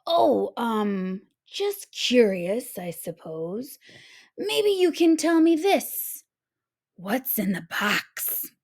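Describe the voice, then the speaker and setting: A woman voicing a big, sly, grumbly wolf in a storybook, with a crackly growl and a slow, menacing drawl. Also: on the high side, sing-song, darkish tone, exaggerated voice. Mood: sly, feigned innocence. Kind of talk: storybook read-aloud character.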